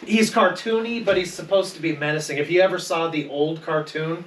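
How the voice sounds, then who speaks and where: A man's voice: a little high, fairly quick. A man on a podcast panel talking casually about films, enthusiastic and full of opinions. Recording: roomy echo, distant mic, faint noise.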